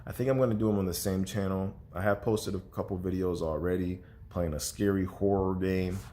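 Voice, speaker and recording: A young man with a very low voice, stuffy and congested, chats loosely to his camera. He rambles with many fillers and restarts, and a faint hiss sits under the recording. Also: steady tone, fairly quick, dark tone. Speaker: vlogger. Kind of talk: casual vlog chat to camera.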